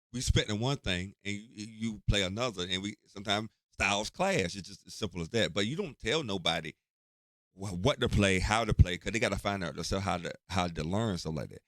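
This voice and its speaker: A man's voice: lowish voice, a bit bright, dry recording. A man in his forties co-hosting a casual podcast, blunt and emphatic with stammered restarts. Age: 40s. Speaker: podcast co-host.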